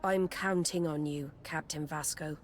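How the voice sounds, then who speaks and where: A woman's voice: low-pitched, fairly even intonation, a little slow, slight background noise. An actress playing a commanding, shrewd female admiral in a video game, formal and assured in her authority. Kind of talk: video game dialogue acting.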